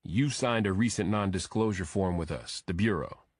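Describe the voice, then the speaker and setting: A man's voice: low voice, normal speed, breathy edge, dry recording, clean studio sound. A man voicing a serious FBI agent who briefs a colleague in a terse, official way, in an audiobook. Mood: guarded, serious. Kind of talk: audiobook character dialogue.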